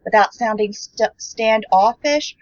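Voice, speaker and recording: A woman with a mixed, placeless American accent, speaking in a measured, genteel, upper-class way in a panel conversation. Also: a little high, lo-fi sound. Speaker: podcast panelist.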